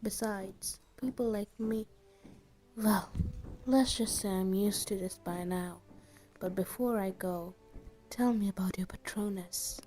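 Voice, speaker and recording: Actor as haggard kindly male teacher, slow measured delivery, soft breathy phrase ends, resigned, music underneath. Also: medium pitch, steady tone, voice pushed up, close to an everyday voice. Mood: weary, resigned. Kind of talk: scripted audio drama acting.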